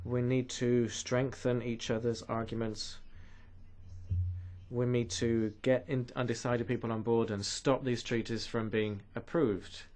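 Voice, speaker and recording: A man's voice: mid-range voice, level tone, unhurried, darkish tone. A man from Canada giving an earnest, urgent talk against trade treaties at a conference. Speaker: conference speaker.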